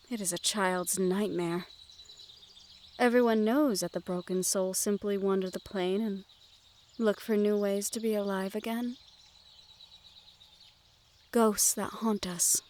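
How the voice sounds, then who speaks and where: A woman playing a gentle, curious young noblewoman in a fantasy audio roleplay, speaking to the listener in formal, measured phrases. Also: medium pitch, a little hiss or hum, natural voice. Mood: reflective. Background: outdoor garden ambience with birdsong.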